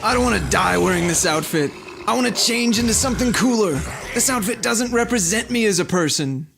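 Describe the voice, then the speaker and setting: A man playing a vain, dramatic TV monster hunter, gravelly and earnestly self-serious. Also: sits high, lively intonation, a little fast, music in the background. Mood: melodramatic. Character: vain, dramatic demon-hunting TV brother, male. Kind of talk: parody of supernatural TV drama.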